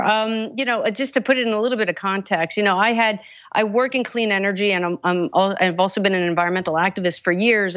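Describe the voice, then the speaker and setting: A woman's voice: lowish voice, fairly bright tone, no echo, highs cut off. A woman, a congressional candidate giving earnest, urgent answers with many restarts. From United States. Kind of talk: interview answers.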